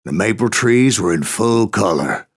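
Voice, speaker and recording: Crusty old cowboy bounty hunter, real gravelly, american west drawl, salty and stubborn, telling yarns like in a western game. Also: male voice, fairly low pitch, animated, measured pace, exaggerated voice. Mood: grim, boastful. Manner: folksy, salty, cantankerous drawl. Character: gruff, stubborn old Wild West bounty hunter spinning tall tales. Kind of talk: storytelling narration, rambling saloon yarn.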